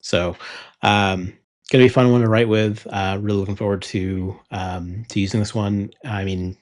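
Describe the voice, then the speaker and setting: A man hosting his fountain pen channel, talking through a pen review in a relaxed way with many ums and uhs. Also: lowish voice, normal speed. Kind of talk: casual product review.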